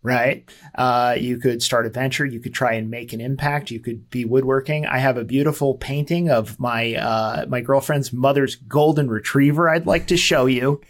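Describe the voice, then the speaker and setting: Man in his forties, podcast host, conversational, self-deprecating, mid-pitched voice. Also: fairly even intonation, moderate pace, hardly any pauses. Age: 40s. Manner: conversational, self-deprecating, frequent fillers and restarts. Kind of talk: casual explanatory talk.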